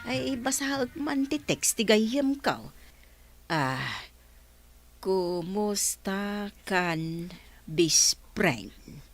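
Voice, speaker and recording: A woman's voice: medium pitch, animated, drawn-out words, long pauses, soft background music, full character voice. An actress playing a lovestruck married woman in a radio comedy drama. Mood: dreamy.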